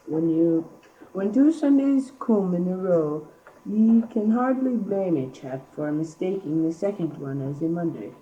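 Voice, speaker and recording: A woman's voice: on the low side, expressive, measured pace, dark tone. A young teenager, a girl, playing a shrewd, placid village millhand in a school radio play. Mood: reassuring. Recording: muffled, distant amateur recording.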